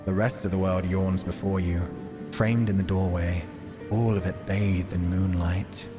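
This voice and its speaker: A man's voice: sits low, level tone, a little slow, over music. A man hosting a game stream, reading visual novel narration aloud and chatting casually about it. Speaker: livestream host playing a visual novel.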